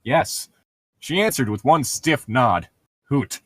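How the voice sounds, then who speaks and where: A man's voice: medium pitch, lively intonation, takes it slowly, a bit bright, slightly rough, no echo, studio quality. A man co-hosting a read-aloud podcast, mixing book narration with casual, irreverent joking. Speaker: podcast co-host reading a novel aloud with commentary.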